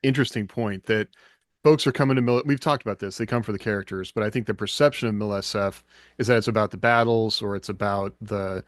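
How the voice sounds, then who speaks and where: A man's voice: on the low side, steady tone, fast talker, no room sound, clean studio sound. A man chatting casually as the co-host of a podcast discussion.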